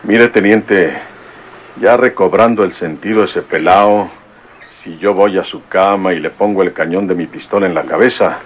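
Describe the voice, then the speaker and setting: An actor playing a blunt, folksy rural police chief in a vintage radio drama. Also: male voice, low-pitched, moderate pace. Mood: gruff. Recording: dull old radio recording.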